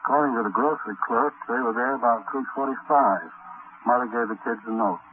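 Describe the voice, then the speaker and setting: An actor playing a grave, plain-spoken American police lieutenant in a 1950s radio drama, briefing detectives in a businesslike way. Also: male voice, medium pitch, fairly quick, far from the mic, natural voice. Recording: tinny, noisy old radio recording.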